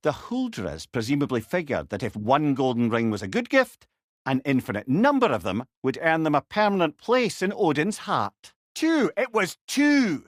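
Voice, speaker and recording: Witty old severed head, Scottish, higher-pitched, very animated, eloquent, wry, dry close sound, video game companion. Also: male voice, moderate pace, no echo. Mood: urgent shouting. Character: Mimir, witty talking severed head, old wise counsellor. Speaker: companion character in an action game. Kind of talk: video game character voice lines.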